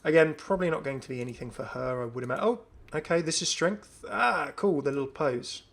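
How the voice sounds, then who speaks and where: A man's voice: a little high, fairly quick, vocal fry, a bit bright. A man giving casual, off-the-cuff video game commentary as a host, chatty and often musing aloud. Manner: chatty, musing aloud, asks himself questions.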